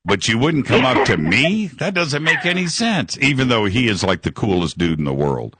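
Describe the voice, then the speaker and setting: A man's voice: medium pitch, very animated, normal speed, fairly bright tone, husky. A man hosting a live call-in radio show, enthusiastic and rambling, repeating words and leaning on fillers.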